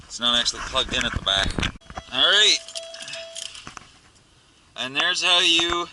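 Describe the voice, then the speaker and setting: A man's voice: on the high side, animated, unhurried. A man giving a casual hands-on tutorial from inside a car, talking offhandedly as he works, with some grumbling and swearing. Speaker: amateur how-to video maker. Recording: clear vehicle noise and clicks.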